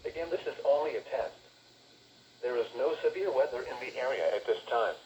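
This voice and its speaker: A man's synthetic-sounding announcer voice reading scripted forecasts and notices over weather radio in a formal, measured way. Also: mid-range voice, expressive, a little mellow, distant mic, muffled recording, a little hiss or hum. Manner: formal, automated, evenly measured delivery. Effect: weather radio broadcast voice. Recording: radio speaker picked up in an echoey room. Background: weather radio playback.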